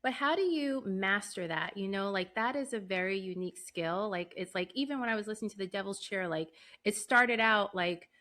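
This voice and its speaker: A woman's voice: medium pitch, a little fast, few pauses, bright, forceful tone. A woman hosting a podcast, speaking in a casual, chatty and enthusiastic way as she interviews.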